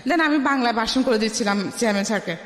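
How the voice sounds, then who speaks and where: Bangladeshi woman telling her story, heavy reverb. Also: a little high. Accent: Bangladeshi Bengali. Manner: halting, with repeated false starts and English words mixed in. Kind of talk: personal storytelling in a radio interview. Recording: echoey with long reverb.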